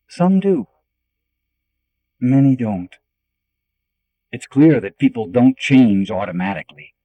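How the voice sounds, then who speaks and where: A middle-aged man, a priest, reads a reflective audiobook foreword in an earnest, preacherly way with deliberate pauses. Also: mid-range voice, dark tone, dry recording, poor audio. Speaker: Jesuit priest and spiritual director reading a book foreword. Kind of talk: scripted audiobook narration, reflective.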